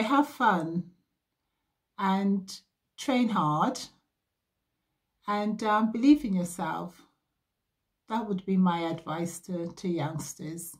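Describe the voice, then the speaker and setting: Slow, measured woman from Barbados. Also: on the low side, a little mellow. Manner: measured, unhurried, with frequent ums. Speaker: former athlete sharing memories. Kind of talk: reflective personal recollection.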